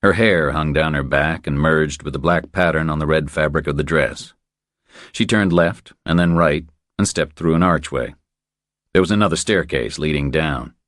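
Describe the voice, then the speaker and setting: A man's voice: extremely low pitch, moderate pace, fairly bright tone, no room sound, clean studio sound. A man reading scripted thriller narration as an audiobook narrator.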